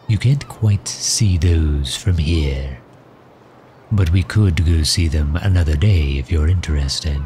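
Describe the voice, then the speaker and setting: Guy doing a suave villain for asmr roleplay, really slow and measured, formal but gentle, deep voice. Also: a little hiss or hum. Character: suave, protective male villain doting on his captive guest.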